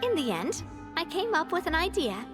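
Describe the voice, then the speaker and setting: A woman's voice: extremely high pitch, very animated, normal speed. A woman voicing a cheerful, genteel young female boss in an anime game. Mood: earnest. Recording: game music behind.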